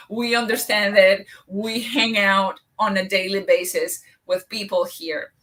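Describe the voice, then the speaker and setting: A woman from Latin America with a Latin American Spanish accent, talking on a live online panel, animated, with many restarts and fillers. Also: mid-range voice, recorded from a distance.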